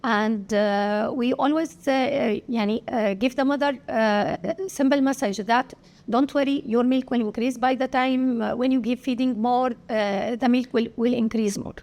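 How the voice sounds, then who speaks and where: A woman from Syria with an Arabic accent, a pediatrician answering interview questions haltingly, with many pauses and filler sounds. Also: on the high side, fairly even intonation, a little mellow. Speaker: pediatrician guest.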